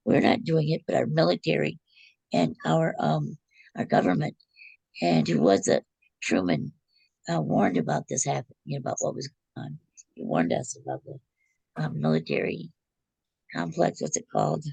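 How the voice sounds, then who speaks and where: A woman whose voice is going hoarse, speaking earnestly in a casual study group and searching for words. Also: deep voice, sing-song, moderate pace, long pauses, warm tone, no room sound, rough recording. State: hoarse, losing her voice.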